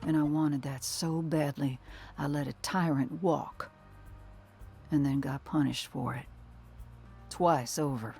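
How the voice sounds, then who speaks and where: A husky-voiced war-worn older sister in a dramatic game cutscene. She speaks in measured, earnest phrases, guarded and heavy with remorse, with music underneath. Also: low-pitched, fairly even intonation, dark tone, full character voice. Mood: weary, earnest. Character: battle-weary older sister and militia fighter, guarded, remorseful.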